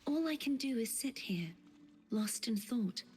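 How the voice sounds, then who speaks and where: A woman playing a polite, earnest young maiden guide in a fantasy video game, speaking softly with courteous formality. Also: on the high side, lively intonation, measured pace. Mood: wistful. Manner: soft, breathy, courteous and formal. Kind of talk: scripted video game voice acting. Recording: music behind.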